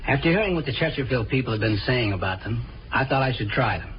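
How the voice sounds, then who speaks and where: A man's voice: medium pitch, animated, quick pace. A man giving a scripted testimonial in a 1950s radio advertisement. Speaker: testimonial speaker in a commercial. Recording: muffled old radio recording, faint noise.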